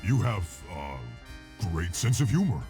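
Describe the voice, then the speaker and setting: An actor playing a big, brainy, mild-mannered gorilla in a video game, measured and a little awkward. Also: male voice, on the low side, very animated, dark tone, soft background music. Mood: awkward, friendly. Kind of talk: video game character acting.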